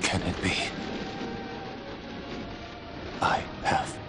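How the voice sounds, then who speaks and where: An actor playing a brooding young warrior prince in a video game, with an earnest, intense, theatrical delivery. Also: male voice, normal speed, background noise, close to an everyday voice. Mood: tense, realizing. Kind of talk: dramatic video game cutscene acting.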